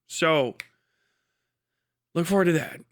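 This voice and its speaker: A man's voice: a little high, slow pace. A man hosting a casual livestream, talking in a sweary, dismissive, sardonic way. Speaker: livestream host.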